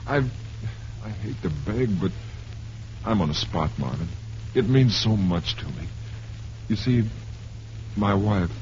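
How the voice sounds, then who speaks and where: Desperate low-voiced man in vintage radio drama. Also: lively intonation, darkish tone. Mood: desperate pleading. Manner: pleading, halting, earnest. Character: desperate down-and-out actor pleading for a job. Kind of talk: old-time radio drama acting. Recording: muffled, hissy old radio recording.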